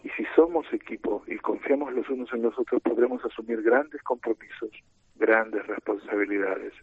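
A middle-aged man with an Argentine accent, a team coach explaining his ideas in a measured, lecturing way in a radio interview. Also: mid-range voice, fairly even intonation, slightly rough, no echo. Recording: tinny phone line.

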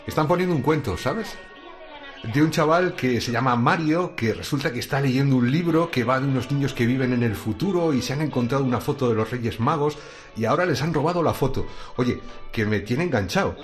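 An actor playing a chatty, grumbling middle-aged night security guard with a sore back in a radio drama. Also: male voice, medium pitch, brisk, faint music behind, lightly acted.

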